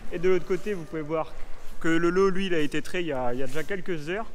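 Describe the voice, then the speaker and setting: A man's voice: on the high side, fast talker, slight background noise. A young man in his twenties giving a chatty, enthusiastic farm tour vlog, filmed on a handheld action camera in a large barn. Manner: chatty, enthusiastic, frequent fillers. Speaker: farm vlogger. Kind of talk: casual vlog walkthrough. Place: large barn and milking parlour, indoors. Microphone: action camera held at arm's length.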